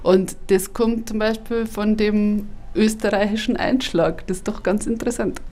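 A woman's voice: mid-range voice, steady tone, moderate pace, warm tone. A woman in her forties with a Bavarian Regensburg accent and a slightly nasal voice, chatty and punchy, switching between a stand-up set and an interview. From Bavaria, Germany. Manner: chatty, punchy, self-mocking. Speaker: cabaret comedian.

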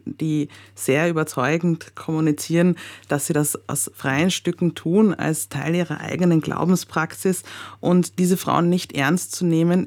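Guest expert, woman, deliberate and thoughtful, some hesitations. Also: lowish voice, darkish tone. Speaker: social scientist guest. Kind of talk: studio discussion.

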